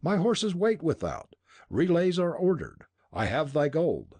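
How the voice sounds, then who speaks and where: A man's voice: a little high, huge pitch swings, measured pace, a little mellow, slightly rough, pitched above the performer's own voice, full character voice. A man voicing a bitter, scornful young male robber who sneers and accuses in an audiobook reading. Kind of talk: audiobook dialogue.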